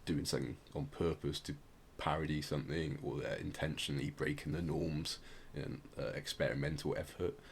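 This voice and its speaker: Low-voiced man chatting on a podcast. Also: flat delivery, a little fast, hardly any pauses, a little hiss or hum. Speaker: podcast host. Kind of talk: casual explanatory podcast chat.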